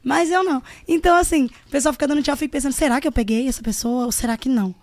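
A woman's voice: high-pitched, rapid-fire, dry recording. A woman singer from Brazil, a guest telling stories in casual chat at a podcast bar table. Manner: animated storyteller, quotes herself.

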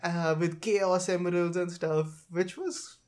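A man's voice: high voice, steady tone, takes it slowly, a little mellow. A man hosting a casual chat, with a hesitant, circling way of putting things. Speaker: podcast host. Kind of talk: casual chat about films and games.

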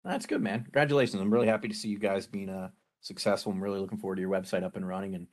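A man's voice: sits low, fairly even intonation, racing, a little mellow. A friendly, joking man hosting a casual group business call and asking questions.

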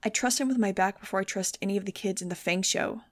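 A woman's voice: mid-range voice, fast talker, lightly acted. A woman voicing an angry, blunt teenage girl with wings, snapping back in heated retorts. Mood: furious.

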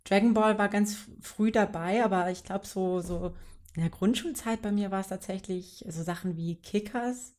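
A woman's voice: mid-range voice, moderate pace, a little mellow. A young woman co-hosting a podcast, chatting casually and leaning on lots of fillers. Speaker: podcast co-host. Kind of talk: casual chat.

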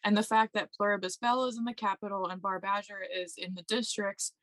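A young woman chats on a book podcast with a wry, conversational delivery. Her voice is mid-pitched, and the recording is dry and free of echo. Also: fairly even intonation, brisk, a bit bright. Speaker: podcast co-host. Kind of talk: casual book discussion chat.

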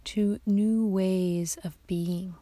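A woman guiding a yoga nidra meditation in a soft, hushed, half-whispered voice, giving calm, soothing instructions. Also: medium pitch, very slow, dry recording. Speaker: meditation guide.

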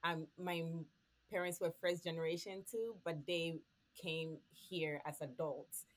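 A woman's voice: low-pitched, unhurried. A woman from Ghana, a podcast co-host, sharing holiday memories in casual chat with frequent laughs.

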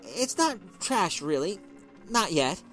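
A shaken young wife pleads in a high, strained voice that swings up and down, as a male narrator puts her on. She sounds frightened and defensive, with soft music faint in the background. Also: very animated, takes it slowly, exaggerated voice. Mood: flustered.

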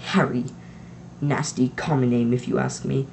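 A reader voicing a sharp, stiff middle-aged housewife with a clipped, snappish delivery. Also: medium pitch, little pitch movement, moderate pace, creaky, slight background noise, close to an everyday voice. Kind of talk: storybook character voice.

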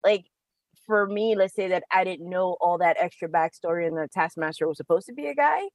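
A woman's voice: fairly low pitch, fairly quick, no room sound. A woman co-hosting a podcast, chatting casually and animatedly about movies, swearing and laughing often. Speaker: podcast co-host.

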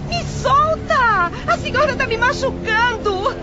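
A frail, gentle girl pleads through tears in an extremely high voice, her words dragged out. It is radio melodrama, dully recorded with a crowd behind. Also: animated, slow, dull audio, heavily acted. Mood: tearful protest. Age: young adult. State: crying. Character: frail, gentle young daughter, tearfully pleading, poor fish seller. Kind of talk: melodramatic radio drama acting.

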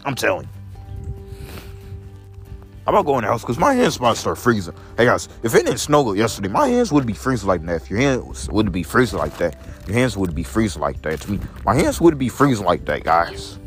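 A young man with a deep voice talks straight to his viewers, swinging his pitch wildly from low murmurs up to sudden high notes. He rambles and repeats himself, restating each line several times over. Faint music plays behind him. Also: huge pitch swings, fairly quick. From Illinois, USA. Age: 20s. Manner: repetitive, restates lines in several persons. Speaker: vlogger. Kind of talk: rambling casual monologue to viewers. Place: outdoors in the cold.

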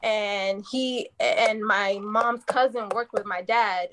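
A woman's voice: mid-range voice, fairly even intonation, slow pace, a bit bright. A woman from the Dominican Republic whose first language is Spanish, chatting casually and laughing often as she tells family stories.

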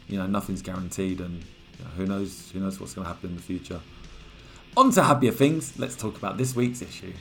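A man with a fairly low, slightly croaky voice talks through his podcast in a loose, rambling way, full of ums and uhs. Faint music plays quietly underneath. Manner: chatty, rambling, with frequent fillers. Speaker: podcast host. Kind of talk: casual podcast monologue.

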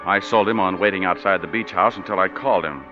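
An actor playing a wisecracking, hard-boiled private detective with dry, clipped narration, in 1940s radio acting. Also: male voice, deep voice, fast talker, over music, lightly acted. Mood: narrating. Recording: dull reverberant 1940s radio broadcast.

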